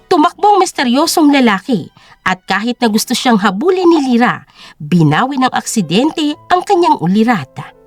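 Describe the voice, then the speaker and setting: A woman's voice: on the high side, sing-song, normal speed. A woman narrating a scripted drama story in a dramatic storytelling style. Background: music.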